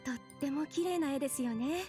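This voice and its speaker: A woman playing a polite, gentle young nun in a Japanese visual novel. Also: high voice, racing, soft background music, natural voice. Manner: polite, gentle, softly formal.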